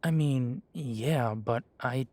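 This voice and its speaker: A man performs a young half-breed werewolf for an audio drama. His voice sits at a middle pitch, unhurried and recorded very dry. He sounds guarded and blunt, with a hesitant, self-doubting defensiveness. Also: darkish tone, no room sound, natural voice. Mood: doubtful, defensive. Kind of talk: scripted roleplay audio drama.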